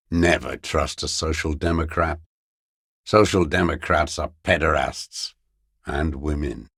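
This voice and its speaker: Casting: a bitter old sniper in hiding, video game; creaky, gravelly, scornful, very dry close sound. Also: male voice, very deep voice, fairly bright tone, dry recording, exaggerated voice. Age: older. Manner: slow, contemptuous, bitter invective. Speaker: video game character actor. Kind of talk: dramatic video game voice acting.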